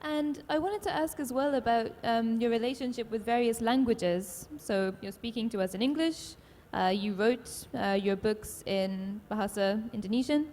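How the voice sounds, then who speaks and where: A woman moderating a literary panel, asking long, considered questions with soft fillers. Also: fairly high pitch. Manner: measured, thoughtful, soft fillers. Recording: long hall reverb, faint room noise.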